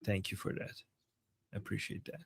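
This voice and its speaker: A man from Iran co-hosting a casual livestream, reading viewer messages with frequent chuckles and halting restarts. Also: dry recording. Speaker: co-host reading viewer chats.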